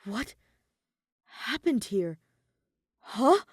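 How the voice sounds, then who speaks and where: A woman's voice: a little high, very animated, very slow, slightly rough, dry recording, clean studio sound, pitched above the performer's own voice, heavily acted. A woman voicing an earnest, determined but anxious teenage boy in a fan fiction audiobook. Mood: alarmed.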